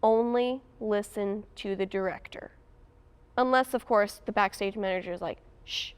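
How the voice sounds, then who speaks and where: A woman's voice: medium pitch, normal speed, clear, resonant tone. A teenage young woman telling casual anecdotes in an interview, expressively acting out what people said. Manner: expressive, acts out quotes. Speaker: student in a theater cast.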